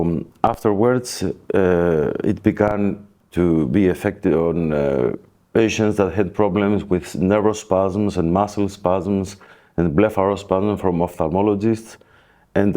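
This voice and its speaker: Deep, dark-voiced Greek man. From Greece. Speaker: plastic surgeon, guest expert. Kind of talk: podcast interview, explanatory talk.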